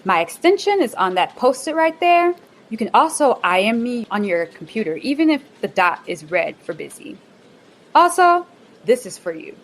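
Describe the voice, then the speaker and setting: An actress playing a bubbly, bossy office manager in a radio play, talking in a chirpy sing-song way. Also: female voice, on the high side, sing-song, moderate pace. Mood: upbeat.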